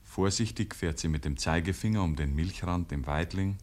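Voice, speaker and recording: An actor as a homely, wry village storyteller, narrating a 1950s radio play with a Bavarian accent. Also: male voice, deep voice, normal speed, darkish tone, natural voice. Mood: unhurried, descriptive.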